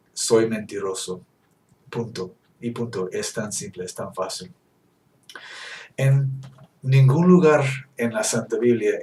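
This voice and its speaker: Earnest preacher speaking Spanish as a learner. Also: male voice, medium pitch, darkish tone. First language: English. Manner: earnest, halting with self-corrections. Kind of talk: teaching sermon. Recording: echoey room, distant mic.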